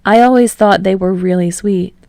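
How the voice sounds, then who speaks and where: A woman voicing a friendly, earnest young man in an audiobook story, speaking in a casual, gently coaxing way. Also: medium pitch, normal speed, gravelly, dry recording, clean studio sound, close to an everyday voice. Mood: sincere.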